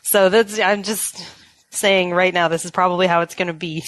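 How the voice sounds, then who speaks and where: A woman's voice: on the low side, steady tone, normal speed, fairly bright tone, dry recording, low-quality recording. A woman hosting a casual podcast chat, a little stuffy-nosed and laughing often. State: stuffy nose. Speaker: podcast host.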